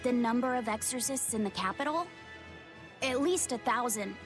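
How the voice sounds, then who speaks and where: Woman as a high-voiced, bookish young boy. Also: moderate pace, lightly acted. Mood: earnest. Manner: studious, precise. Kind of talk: video game voice acting. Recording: music behind the voice.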